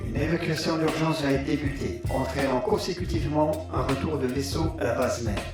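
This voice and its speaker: An emotionless male ship system, speaks politely and formally. It is slightly high, heavily echoed and far off, with music behind. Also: steady tone, fairly quick. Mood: impassive. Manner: formal, procedural. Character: polite, emotionless male spaceship evacuation computer. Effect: reverberant ship system voice. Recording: echoing, distant, music behind.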